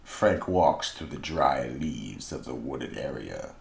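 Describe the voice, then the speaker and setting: Male narrator, radio mystery, ominous storyteller, slow pace, expressive pitch movement. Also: vocal fry.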